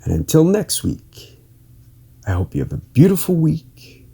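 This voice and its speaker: A man reading an inspirational article as a podcast host, in a measured, earnest manner with deliberate phrasing. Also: on the high side, wildly swooping pitch, vocal fry, slightly rough. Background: soft plucked guitar music.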